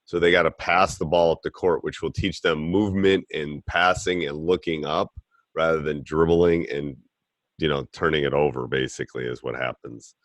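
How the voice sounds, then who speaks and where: Deep-voiced coach talking casually. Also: male voice, normal speed, no echo. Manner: relaxed, rambling, plain-spoken coach talk. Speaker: basketball coach and podcast host.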